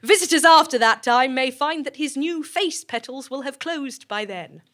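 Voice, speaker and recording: A woman playing a formal, composed director of a sinister research facility, giving measured, officious speeches to staff in an audio drama. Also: high-pitched, expressive, fairly bright tone. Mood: reassuring, officious.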